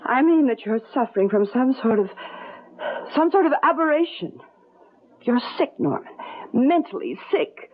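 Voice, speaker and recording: A woman's voice: high voice, very animated, moderate pace, long pauses. An actress playing an earnest, insistent woman in a 1970s radio suspense drama. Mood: pleading, insistent. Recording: tinny narrow-band old radio broadcast.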